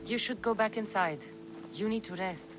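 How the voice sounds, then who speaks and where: A woman's voice: mid-range voice, moderate pace, music in the background, close to an everyday voice. A woman playing a tough, blunt young Greek mercenary in a video game, with a frank, matter-of-fact delivery. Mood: concerned. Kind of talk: video game cutscene acting.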